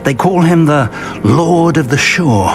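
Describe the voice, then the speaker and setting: An actor playing an earnest middle-aged wizard professor and mentor in a video game, speaking in a deliberate, articulate, scholarly way. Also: male voice, a little high, lively intonation. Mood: earnest, guiding. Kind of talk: dramatic video game voice acting. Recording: music playing behind the voice. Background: game music.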